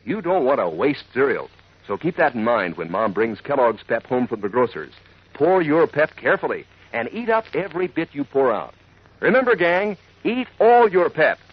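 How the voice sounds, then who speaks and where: A man announcing as a chummy, enthusiastic sponsor pitchman, in folksy 1940s children's radio advertising style. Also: sing-song, normal speed, husky. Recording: dull old radio recording.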